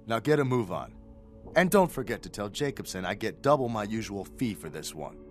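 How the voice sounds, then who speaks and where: An actor playing an irritable, wisecracking crooked port officer in a video game, sarcastic, slangy and impatient. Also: male voice, fairly low pitch, very animated, fairly quick. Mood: brusque, wary. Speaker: game character, informant officer. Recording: music playing behind.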